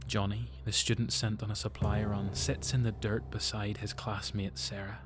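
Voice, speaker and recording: A man's voice: on the low side, flat delivery, moderate pace, over music. A man with a Northern Irish accent reading scripted narration for an audio drama.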